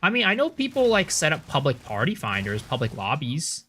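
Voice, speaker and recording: A man's voice: fairly high pitch, slight background noise. Young guy streaming a game, cantonese is his first language, chatty and laid back, voice bounces up and down a lot. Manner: chatty, relaxed, answers chat with quick asides. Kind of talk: casual game-stream chat with viewers.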